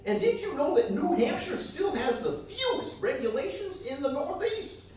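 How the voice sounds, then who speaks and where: A man's voice: extremely high pitch, very animated, normal speed, darkish tone, voice pushed up. A man playing a folksy, rambling country travel reporter with a hesitant drawl in a live comedy radio play. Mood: folksy enthusiasm. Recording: echoey live hall, distant mic.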